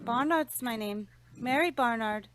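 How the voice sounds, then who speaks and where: A woman's voice: fairly high pitch, unhurried, over music, natural voice. A woman playing a timid, trembling young working-class English waitress in a radio drama, speaking deferentially. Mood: anxious. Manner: deferential, dialect grammar. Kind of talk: old-time radio drama acting.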